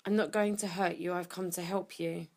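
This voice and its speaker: An actress playing a tough, urgent woman survivor in an audio drama, speaking tersely and commandingly, often hushed. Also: lowish voice, steady tone, moderate pace, close to an everyday voice. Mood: firm, guarded. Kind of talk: audio drama acting with first-person narration.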